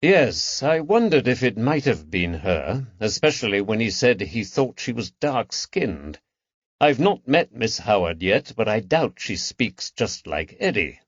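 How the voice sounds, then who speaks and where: A man voicing a calm, perceptive Victorian detective with a gentle, measured way of talking, in a dull, dry recording. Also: lowish voice, breathy edge, no echo, heavily acted. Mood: reassuring.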